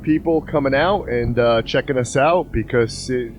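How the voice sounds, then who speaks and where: A man's voice: mid-range voice, normal speed, soft background music. A man co-hosting a podcast outdoors in freezing weather, bantering, laughing and swearing as he wraps up the show. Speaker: podcast co-host. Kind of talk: casual joking banter.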